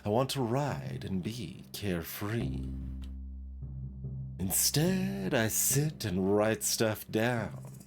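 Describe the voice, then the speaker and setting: Cowboy poet, video game, voiced by a male player: melancholy, measured, very lively melody in the delivery, music underneath. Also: fairly low pitch, gravelly, heavily acted. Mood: wistful, melancholy. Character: wistful cowboy poet reciting verses, longing to be carefree.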